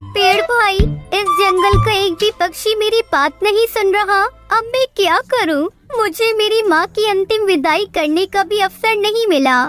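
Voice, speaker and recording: A poor, timid little female bird in a children's cartoon. The voice is extremely high and childlike, with an earnest, polite, pleading manner. Music plays behind it. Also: a little slow. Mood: sorrowful, helpless. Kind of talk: Hindi children's cartoon dubbing.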